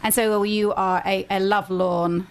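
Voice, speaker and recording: Woman host with a gravelly edge. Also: medium pitch, little pitch movement, a little slow. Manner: chatty, laughs easily, rambling questions. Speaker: radio show host. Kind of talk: casual interview chat.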